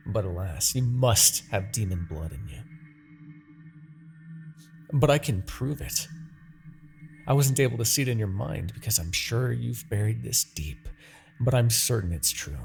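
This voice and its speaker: A menacing demon king, acted by a man, holds forth in a fantasy audio roleplay. His voice is lowish and full of gravel, swooping widely in pitch as he taunts and seduces. The delivery is haughty, obsessive and theatrical, with drawn-out phrases. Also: lively intonation, soft background music. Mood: persuasive, menacing. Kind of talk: scripted fantasy ASMR roleplay acting.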